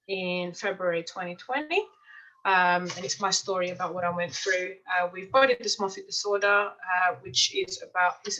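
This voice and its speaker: A bright, clear woman tells her stories in a relaxed, chatty way. She often restarts her sentences and fills pauses with "um" and "uh." Her pitch sits in the middle of the range. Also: rough recording. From Mozambique. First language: Portuguese. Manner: chatty storytelling, frequent fillers and restarts. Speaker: life coach and client. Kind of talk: testimonial interview, casual.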